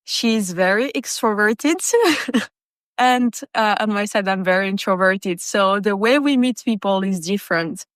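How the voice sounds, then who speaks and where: Clear-voiced woman hosting, slow pace. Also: medium pitch, no room sound, clean studio sound. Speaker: podcast host. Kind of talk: casual conversational interview.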